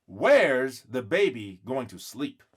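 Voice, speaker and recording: A man's voice: mid-range voice, big pitch swings, slow pace, breathy edge, exaggerated voice. A man voicing a blunt, scornful young boy, an older brother in a storybook, dragging out his words. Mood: curious. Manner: drags out words emphatically.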